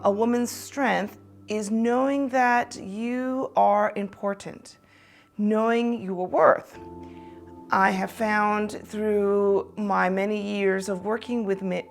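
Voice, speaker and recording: A woman raised in Taiwan speaks earnestly in a measured, mid-pitched voice. She often pauses and restarts with small fillers. Soft music plays faintly behind her. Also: vocal fry. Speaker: optometrist speaking on women's empowerment. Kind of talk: prepared talk to camera.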